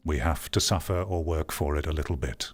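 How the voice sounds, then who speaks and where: A man's voice: very deep voice, fairly quick. A man giving a blunt, emphatic opinion monologue as an online host, repeating phrases for stress. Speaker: host, online commentator and streamer.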